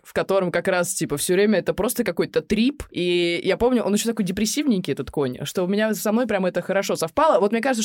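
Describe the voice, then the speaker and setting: A woman's voice: fairly low pitch, a little mellow, no room sound, studio quality. A young woman co-hosting a casual chat, talking in a colloquial, rambling way with plenty of slang.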